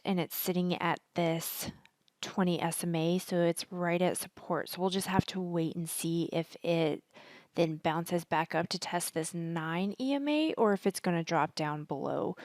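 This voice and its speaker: A woman with a husky, partly hoarse voice, chatting casually and thinking aloud as she hosts a stock market screen-share commentary. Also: lowish voice, no room sound. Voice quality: husky, worn voice. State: partly hoarse from singing at a concert. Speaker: stock trading educator, video host.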